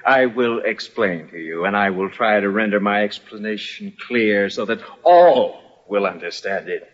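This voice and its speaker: A man acting a mocking, hot-headed army lieutenant in an old-time radio drama, sneering and deliberate. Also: lowish voice, expressive, close to an everyday voice. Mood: condescending, insulting. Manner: sneering, deliberate, chuckling condescension. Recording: dull, band-limited old radio recording.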